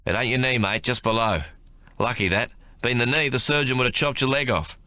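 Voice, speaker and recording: A man playing a blunt, steady army sergeant. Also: mid-range voice, brisk, no room sound, voice pushed up, lightly acted. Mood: reassuring. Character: gruff male Australian army sergeant.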